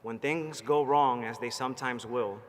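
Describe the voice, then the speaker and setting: A man's voice: mid-range voice, fairly even intonation, moderate pace. Man, 30s, rousing commencement speaker, faint background hiss. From Puerto Rico. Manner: fired-up, rousing, plainspoken and personal. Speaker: commencement speaker, Marine veteran graduate. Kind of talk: motivational commencement speech. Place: large ceremony hall, from a stage. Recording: long hall reverb, faint background noise.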